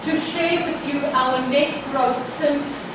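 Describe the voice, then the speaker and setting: A woman's voice: high voice, fairly even intonation, slow, noisy background. A woman, an Afrikaans speaker, giving an earnest, proud report as a childcare director at an annual meeting. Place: event venue. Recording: echoey hall, far from mic.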